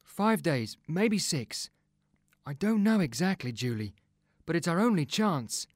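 A man voicing an eager, resourceful boy in a dramatised audiobook, with an excitable, expressive delivery. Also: fairly high pitch, measured pace, no room sound, studio quality. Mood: earnest, determined.

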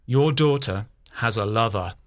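An actor playing a grave, accusing prince in a dramatised audiobook, solemn and emphatic. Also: male voice, medium pitch, expressive, takes it slowly, fairly bright tone, dry recording, studio quality. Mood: sad.

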